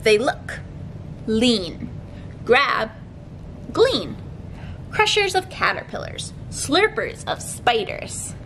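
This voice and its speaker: Slow-paced young woman reading to children. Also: on the high side, very animated, fairly bright tone, a little hiss or hum, low-quality recording. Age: young adult. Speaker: children's librarian leading storytime. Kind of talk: read-aloud picture book storytime for young children. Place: library room with bookshelves, indoors.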